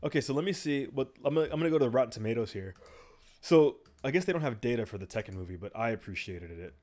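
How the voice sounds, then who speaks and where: A man's voice: mid-range voice, animated, quick pace, darkish tone. A man co-hosting a casual podcast, riffing in loose banter. His voice sits in a middle register, and he often restarts his sentences or trails off mid-thought. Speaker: podcast co-host.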